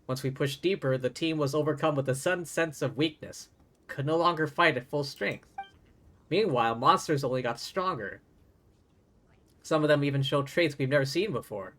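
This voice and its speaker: A man's voice: on the high side, normal speed, fairly bright tone. A man livestreaming a game, reading dialogue aloud and adding playful, wry, casual asides. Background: game music.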